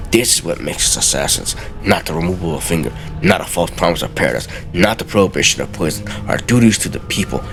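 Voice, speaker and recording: Very deep-voiced man reading theatrically. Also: gravelly, background noise. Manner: theatrical, solemn, deliberate. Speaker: let's play host. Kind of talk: dramatic reading of game journal entries.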